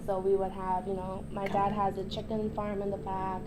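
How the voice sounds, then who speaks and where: A woman from Brooklyn talks casually as a podcast guest, filling her sentences with "like" while she hunts for words. Steady background noise runs clearly under her voice. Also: medium pitch, moderate pace. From Brooklyn, New York. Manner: relaxed, searching for words, many likes. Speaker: farm co-founder, guest.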